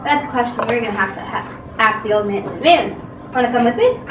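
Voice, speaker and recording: A female reader plays a curious, helpful young girl on an adventure, very high in pitch. It's an amateur stage play, recorded from afar in an echoey room. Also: expressive, fast, natural voice. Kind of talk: amateur readers theatre performance. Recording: echoey room from far, clear noise.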